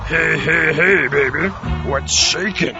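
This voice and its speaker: Man as a creaky, jive-talking disco groover. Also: a little high, slow pace. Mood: cocky. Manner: drawling seventies jive slang. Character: pleading, laid-back male disco groover clubgoer. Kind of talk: comic audio drama acting. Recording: dull lo-fi with music behind.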